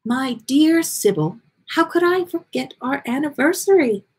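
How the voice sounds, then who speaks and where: A woman voicing a gentle, proud lady ghost with a soothing, tender manner in a story reading. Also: high-pitched, expressive, measured pace, voice pushed up. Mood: sincere, tender.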